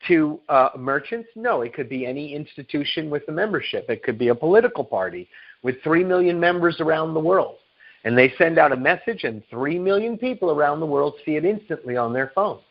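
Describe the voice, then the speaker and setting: A man giving an emphatic promotional sales presentation as a company executive, repeating phrases and answering his own rhetorical questions. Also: fairly high pitch. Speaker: company chief executive pitching on a conference call. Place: conference call. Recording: dull, lo-fi conference call recording.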